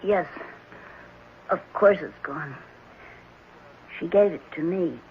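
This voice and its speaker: A woman playing an earnest, softly insistent young orphan boy in radio drama, speaking in simple, deliberate childlike phrases. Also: on the low side. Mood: shaken. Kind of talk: 1970s radio drama acting. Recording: muffled, noisy 1970s radio recording.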